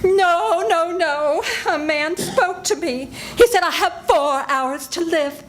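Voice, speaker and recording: An older woman playing a terrified, very high-voiced woman. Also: takes it slowly, slight background noise. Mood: frightened. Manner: breathless, pleading, panicky. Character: terrified woman targeted by a killer. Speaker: stage reader in a live radio play. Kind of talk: 1940s radio drama reading. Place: senior center event room.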